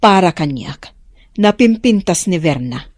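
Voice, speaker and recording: A woman's voice: fairly low pitch, lively intonation, a little slow, a little mellow, no echo, studio quality. An actress playing a concerned, probing mother of a grown son in an Ilocano radio drama.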